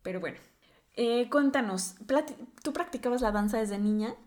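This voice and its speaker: A woman's voice: fairly high pitch, moderate pace, a little mellow. A woman hosting a podcast, asking her guest questions in a casual, curious way. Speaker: podcast host.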